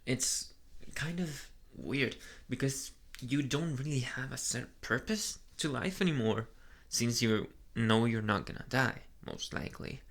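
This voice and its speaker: A man voicing a soft, flustered young male vampire in an ASMR boyfriend roleplay, speaking hesitantly and earnestly in an intimate murmur. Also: mid-range voice, lively intonation, measured pace. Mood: hesitant, reflective.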